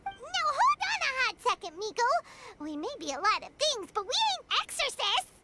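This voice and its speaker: A woman voicing a tiny, excitable female fairy companion with a high, squeaky childlike voice in a video game. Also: normal speed, exaggerated voice. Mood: protesting. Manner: excitable, blurts out protests. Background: game background music.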